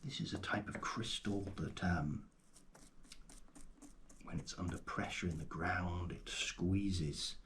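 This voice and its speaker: A man speaking softly and gently in an ASMR roleplay as a guide, often slipping into a breathy whisper, murmuring without hurry. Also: low voice, slow pace, long pauses, slight background noise. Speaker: host playing a paleontologist guide. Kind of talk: ASMR roleplay, soft narration of a fossil dig.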